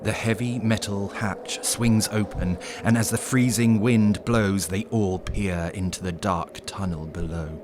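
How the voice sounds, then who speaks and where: A man narrating a scripted horror story in an ominous, measured storytelling manner. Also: lowish voice, steady tone, background noise, natural voice.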